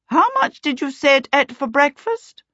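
Woman acting a haughty, high-pitched storybook aunt. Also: very animated, normal speed, bright, forceful tone, no room sound, dull audio, full character voice. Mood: brisk, dismissive. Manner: haughty, commanding, formal. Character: stately, imperious aunt, brisk and disdainful.

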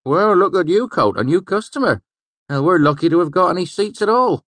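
A man's voice: high-pitched, expressive, a little fast, a bit bright, dry recording, pitched above the performer's own voice. A man voicing a blunt, nosy old village regular at an inn, with a hearty rustic manner.

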